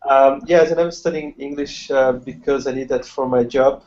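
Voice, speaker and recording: A man's voice: mid-range voice, fairly even intonation, normal speed, darkish tone. A man from Switzerland who speaks natively, an English student talking hesitantly with many uhs over a video call. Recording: dull lo-fi video call audio.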